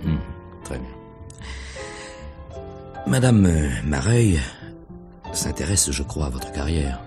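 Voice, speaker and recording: An actor playing a probing, sly middle-aged police inspector in a radio mystery play, questioning in a hesitant, deliberate way. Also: male voice, rumbling low, sing-song, over music, full character voice. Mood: methodical questioning. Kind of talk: French radio mystery play acting in 1950s manner.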